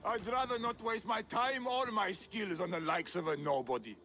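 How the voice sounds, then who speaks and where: A man's voice: sits high, normal speed, bright, forceful tone, a little hiss or hum. A man voicing a boastful, haughty, hot-tempered Olympic trainer in a video game, theatrical and scoffing. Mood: scornful. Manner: pompous, scoffing, declamatory.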